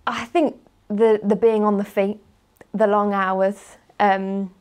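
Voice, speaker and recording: A woman's voice: medium pitch, level tone, slow, darkish tone, clear, resonant tone. A woman in her twenties with a British accent, speaking informally and telling anecdotes as a guest in a casual interview. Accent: British English. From grew up partly in Spain, lives in the UK. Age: 20s. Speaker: interview guest, marketing manager.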